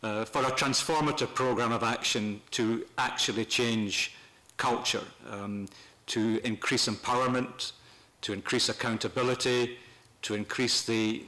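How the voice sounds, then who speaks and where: Older guy talking at a meeting, bright firm voice, kinda halting, stops mid sentence a lot. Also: fairly low pitch. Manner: measured, halting, pausing mid-phrase. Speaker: panel speaker at a human rights meeting. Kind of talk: semi-formal unscripted presentation to a meeting. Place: parliament committee room, indoors. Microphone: desk gooseneck microphone.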